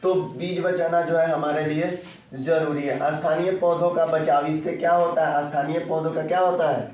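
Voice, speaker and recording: Echoey, higher-pitched man teaching, throat slightly off. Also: fairly even intonation, moderate pace, a little mellow. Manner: didactic, repeats points and quizzes the pupils. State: sore throat, off voice. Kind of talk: classroom geography lesson for school children. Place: echoey room, teaching in person. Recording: very echoey room, distant mic.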